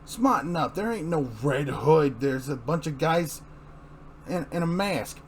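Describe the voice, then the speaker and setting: A man's voice: a little high, expressive, unhurried, slight background noise. A man playing a casual, persuasive crook with a slangy, pushy way of talking in an amateur comic reading. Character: casual, persuasive male crook recruiting an accomplice.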